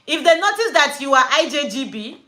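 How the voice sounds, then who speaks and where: A woman from Nigeria with a Nigerian accent, chatting casually on a live stream from home. She tells stories in an animated, chatty way and drops pidgin slang. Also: sits high, a little fast. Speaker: livestream host.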